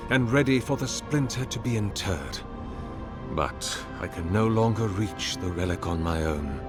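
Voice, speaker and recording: Slow, courtly ancient oracle. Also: male voice, on the low side, vocal fry. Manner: formal, measured, grandiloquent. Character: ancient male oracle, courtly, solemn servant of a god. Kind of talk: fantasy video game dialogue. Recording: music behind the voice. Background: game music.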